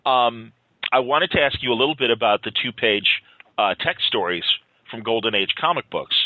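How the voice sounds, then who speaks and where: Bright, forceful male interview host. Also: medium pitch, normal speed, dry recording, poor audio. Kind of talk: casual chat, reacting and asking questions.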